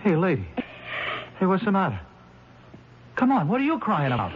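An actor playing a brash, slangy hoodlum on trial for murder in a 1970s radio drama. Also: male voice, high voice, wildly swooping pitch, moderate pace. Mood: wary, cynical. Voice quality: slangy, rough street talk. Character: brash cornered male hoodlum, a murder defendant. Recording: muffled, noisy 1970s radio recording.

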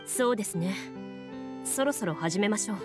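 A woman's voice: mid-range voice, animated, normal speed, close to an everyday voice. A woman voicing a serious, composed young duelist in an anime game, explaining formally like a game master. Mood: composed, instructive. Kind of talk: Japanese anime game voice acting. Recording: studio voice with music underneath.

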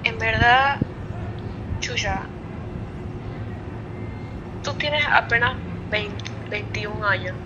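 A woman's voice: fairly high pitch, very slow, pauses often, lo-fi sound, background noise. A woman with a Panamanian Spanish accent in a casual phone conversation, speaking bluntly and asking pointed questions. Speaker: friend called on the phone.

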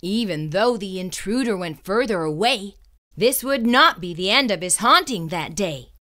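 A woman's voice: mid-range voice, animated, moderate pace, sharp tone. A woman reading a children's story aloud in a suspenseful, theatrical and emphatic way. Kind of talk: dramatic read-aloud narration.

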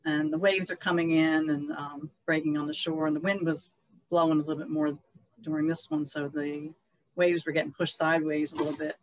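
A woman's voice: low-pitched, little pitch movement, brisk, rough recording. A middle-aged woman painter casually explaining her own work on a video call. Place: indoor studio room on a video call.

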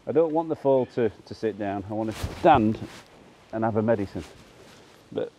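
A man's voice: on the low side, animated, moderate pace, warm tone, slight background noise. A man in his fifties with a Yorkshire accent, a farmer presenting an informal vlog in a plain, matter-of-fact way. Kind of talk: informal vlog explaining animal care to camera. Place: large open-sided straw-bedded barn.

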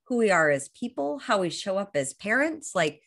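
A woman's voice: medium pitch, big pitch swings, moderate pace, gravelly, fairly bright tone. A woman in her thirties with an American accent, a coach talking enthusiastically about her work in a video-call interview while getting over a cold. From Los Angeles, USA. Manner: enthusiastic, motivational, flowing. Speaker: guest, high performance coach. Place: bright room at home.

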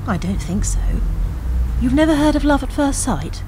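A woman's voice: high voice, lively intonation, moderate pace, noisy background. A woman playing an earnest, questioning idealist in a radio drama. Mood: probing. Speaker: actor in a radio play. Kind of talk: radio drama acting, dialogue.

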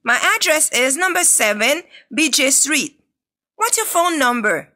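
A woman reading scripted English dialogues and exercise questions for a learners' audio book, clear and deliberate. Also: high voice, expressive, sharp tone. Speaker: textbook audio reader.

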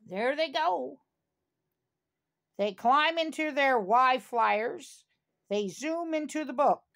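An older woman reads a children's story aloud. Her voice sits a little high and has a gravelly creak in it. She goes slowly and deliberately, adding small asides for the listener. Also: animated, a bit bright. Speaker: storybook reader.

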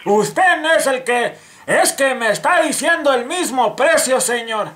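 A man with a Mexican accent playing a slow, stubborn, confused old grandfather on a prank call, rambling and repeating himself. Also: very high-pitched, animated, crowd noise. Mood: haggling, stubborn. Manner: rambling, repetitive, feigning confusion. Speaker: prank caller. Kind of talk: comic phone prank. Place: living room, speaking to a handheld phone on speaker. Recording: noisy living room with phone clicks.

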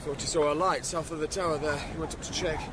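A man's voice: a little high, fairly even intonation, fast talker, close to an everyday voice. An actor playing a blunt, resentful working village man in a radio drama, rustic and plain-spoken. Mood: uneasy. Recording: radio drama with loud outdoor noise.

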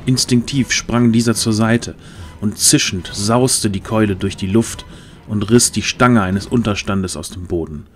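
A man's voice: lowish voice, slight background noise. A man reading scripted, dramatic fantasy narration as the narrator of an audio drama.

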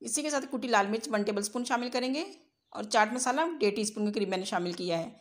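A woman's voice: fairly high pitch, fast talker. A woman narrates a cooking tutorial as a home cook, explaining step by step in an instructional way. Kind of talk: recipe tutorial voice-over.

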